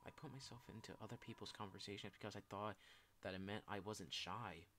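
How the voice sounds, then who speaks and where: A man's voice: on the low side, fast, slight background noise, lightly acted. A man voicing a shy, self-loathing young game character who speaks in a hesitant, subdued and apologetic way. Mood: downcast, ashamed.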